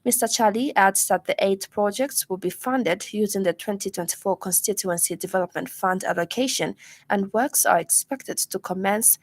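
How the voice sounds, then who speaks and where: A woman with a Zambian accent reads a scripted radio news bulletin in a formal, measured way. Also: mid-range voice, dry recording. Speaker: news reader.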